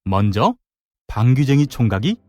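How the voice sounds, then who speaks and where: A man narrating a children's folktale in an animated, dramatic way with playful sound words. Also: mid-range voice, moderate pace, dry recording. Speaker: storyteller, narrator. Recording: music behind the voice.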